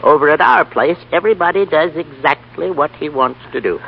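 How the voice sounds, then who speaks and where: A man's voice: a little high, brisk, gravelly, highs cut off, slight background noise. An actor playing a carefree old grandfather, folksy, plain-spoken and easygoing, in a 1930s radio play. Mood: friendly, easygoing.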